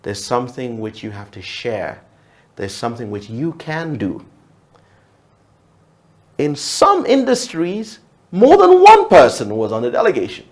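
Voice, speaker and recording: A man's voice: mid-range voice, huge pitch swings, unhurried, long pauses. A man from Uganda whose first language is Luo, speaking reflectively as a TV talk show guest, often restarting and repeating words.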